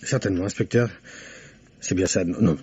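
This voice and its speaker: An actor playing a timid, stammering, henpecked middle-aged husband in a French radio mystery play. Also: male voice, deep voice, a little fast, dark tone, breathy edge. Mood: nervous. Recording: muffled old French radio recording.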